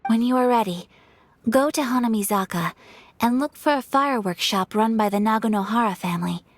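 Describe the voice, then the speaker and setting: A woman's voice: a little high, no room sound. A formal, composed young noblewoman. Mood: calm. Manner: formal, measured, elaborate speech. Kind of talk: game voice acting.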